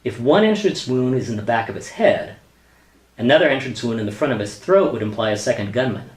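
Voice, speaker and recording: An actor playing a hesitant, exasperated government office worker and husband in a sitcom-style radio play, with a wry manner. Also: male voice, medium pitch, lively intonation, a little fast, lightly acted. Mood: patient, weary. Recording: roomy, echoey sound.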